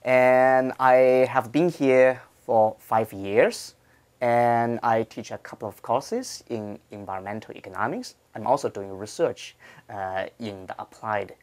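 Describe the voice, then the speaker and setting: A man in his thirties from China with a Chinese accent, explaining informally in measured, halting phrases as an interviewed professor. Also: lowish voice.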